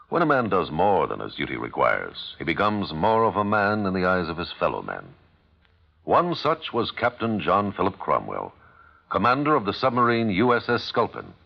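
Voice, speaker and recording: A man's voice: low voice, creaky. A man reading a solemn, formal scripted public service announcement on an old radio broadcast. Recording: dull old radio recording.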